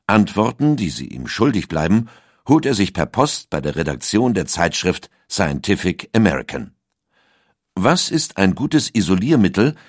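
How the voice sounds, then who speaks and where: A man narrates a documentary in a calm, measured way. His voice sits low. The recording is dry, with no room sound. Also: dry recording.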